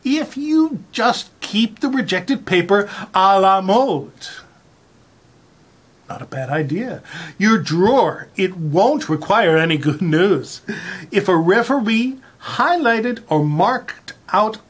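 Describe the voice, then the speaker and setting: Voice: medium pitch, animated, husky. Theatrical, wildly swooping dramatic reader. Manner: theatrical, exaggerated emphasis with dramatic pauses. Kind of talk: mock-dramatic reading of a garbled text.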